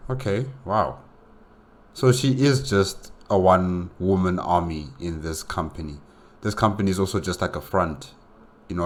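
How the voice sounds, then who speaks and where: Low-pitched man giving casual commentary. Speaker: reaction video host. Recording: faint background noise.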